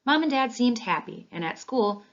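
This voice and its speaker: Woman, children's read-aloud, expressive storytelling, animated delivery, slightly dull lo-fi audio. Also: on the high side, moderate pace. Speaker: read-along reader. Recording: dull, lo-fi sound.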